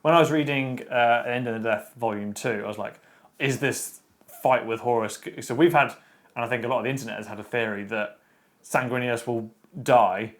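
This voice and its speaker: A man's voice: mid-range voice, steady tone, a little fast. A man chatting casually in a discussion, giving his views in an opinionated, emphatic way. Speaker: discussion show panelist. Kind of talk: casual chat, book discussion.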